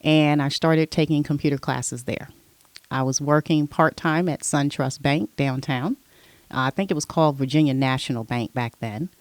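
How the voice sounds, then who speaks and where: A middle-aged woman, a podcast guest, telling stories from her past in a relaxed, conversational way. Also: low voice, normal speed, no room sound. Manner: relaxed storyteller, recounting her past at length. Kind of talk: casual interview chat.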